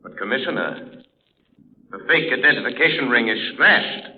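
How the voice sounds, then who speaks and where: Through a thin, phone-like 1930s radio recording comes a man acting a mysterious American crime fighter. He is commanding and grave, measuring out his dramatic words with a mobile, expressive pitch. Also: normal speed, slight background noise, natural voice. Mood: grave, assured.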